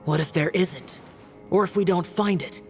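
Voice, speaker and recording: A man's voice: high voice, moderate pace. A young immortal warrior, stoic and grim, talks in a solemn, resolute way. His lines are terse game dialogue with music underneath. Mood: bleak challenge. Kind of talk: video game voice acting.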